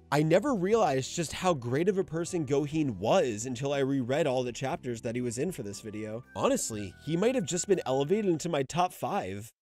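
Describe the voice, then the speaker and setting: Lively young man doing video commentary. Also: mid-range voice. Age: young adult. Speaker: video essayist, host. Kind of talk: scripted manga character commentary.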